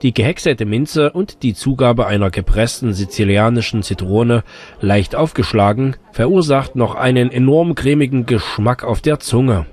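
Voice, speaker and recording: A male narrator reads a scripted news voice-over at a measured, even pace. His pitch sits in an ordinary middle range. The read is clean and steady. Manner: measured reading.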